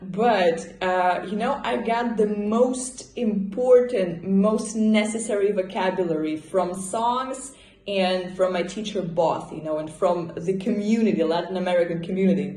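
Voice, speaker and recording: A woman's voice: mid-range voice, a little mellow, a little hiss or hum. A woman from Russia, a native Russian speaker with a Russian accent, chatting casually and expressively as she answers viewers' questions in a vlog. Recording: roomy indoor echo.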